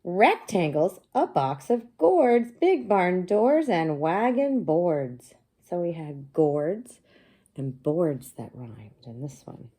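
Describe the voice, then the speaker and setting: A woman teacher reading a picture book aloud to young children, pausing to explain things simply and ask them questions. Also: fairly low pitch, sing-song, dark tone.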